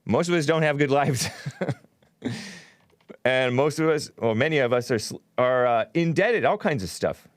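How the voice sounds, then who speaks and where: A man's voice: medium pitch, animated, normal speed, no room sound, clean studio sound. A man hosting a call-in talk show, talking casually and laughing at his own remarks.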